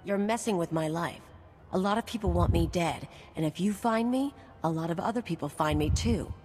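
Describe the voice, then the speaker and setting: A woman's voice: fairly low pitch, normal speed, darkish tone, lightly acted. A woman playing a cynical, brash young mercenary in a video game, curt, dismissive and sardonic. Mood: cold, irritated. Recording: long reverb, music behind.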